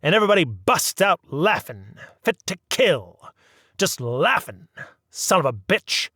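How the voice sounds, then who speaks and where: A rough, bitter old riverboat captain with a twang, as a male reader puts him on. He talks loud and folksy in a raised voice, close and dry. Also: on the high side, sing-song, measured pace, dry recording, voice pushed up, heavily acted. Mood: grumbling.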